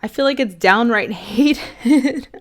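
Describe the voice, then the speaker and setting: A young woman vlogger casually reviewing films to camera, rambling with lots of fillers and restarts, a little hoarse. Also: a little high, slow pace. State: slightly hoarse. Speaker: film vlogger ranking movies.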